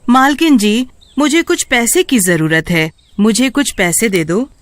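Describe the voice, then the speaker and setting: A woman narrator puts on a young, hard-working elder sister in a folk tale. She sounds anxious and devoted, pleading in a raised, very animated voice. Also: fairly high pitch, unhurried, dry recording, voice pushed up. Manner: pleading, earnest.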